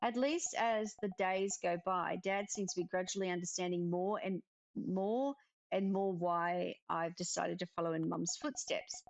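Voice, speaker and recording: A woman reading the part of a gentle, caring young witch in a cozy visual novel. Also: on the low side, unhurried, creaky, dry recording, rough recording. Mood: worried. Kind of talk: visual novel character read aloud.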